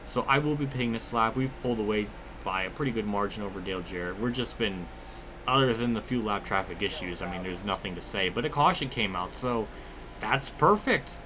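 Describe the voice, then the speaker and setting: A man's voice: lowish voice, lively intonation, brisk, background noise. A man giving casual, rambling gameplay commentary mixed with personal storytelling, conversational and free with swearing. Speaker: gaming video creator.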